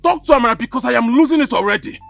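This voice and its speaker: A man's voice: very high voice, sing-song, moderate pace, gravelly, slightly rough, full character voice. An actor playing an exasperated young husband who pleads with his father, with music behind him.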